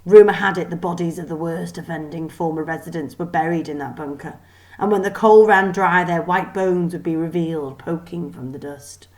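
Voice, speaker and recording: A woman giving an expressive scripted narration of a children's story. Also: fairly low pitch, moderate pace. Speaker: storybook reader.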